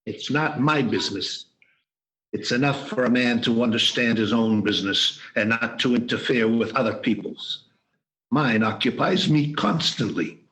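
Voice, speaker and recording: A man's voice: medium pitch, moderate pace, fairly bright tone, natural voice, poor audio. A man playing a miserly, grumpy old man, curt and scornful, in an amateur radio play over a video call. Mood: grumbling.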